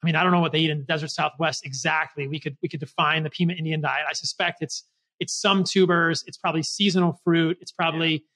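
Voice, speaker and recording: A man's voice: a little high, fairly even intonation, fast talker, pushed and bright. A man in his forties talking conversationally as a podcast host, close to a desk microphone. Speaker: doctor. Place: small home office, indoors.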